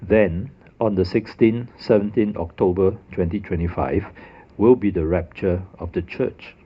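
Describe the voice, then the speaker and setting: A man's voice: sits low, measured pace, husky, dull audio. A man narrating a methodical, scripted explanation of dates and a calendar puzzle. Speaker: religious video presenter.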